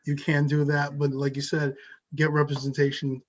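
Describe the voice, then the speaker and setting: A man's voice: a little high, monotone, normal speed. A man hosting an interview, asking short upbeat questions to keep the guest talking. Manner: brief, upbeat prompting questions. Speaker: podcast host, realtor. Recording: dull lo-fi sound.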